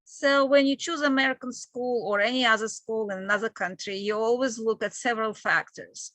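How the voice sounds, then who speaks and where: A woman from Ukraine with a Ukrainian accent, presenting a webinar in a halting, explanatory way as an admissions manager. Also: on the high side, fairly even intonation, fairly bright tone, no echo. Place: online video call with screen sharing.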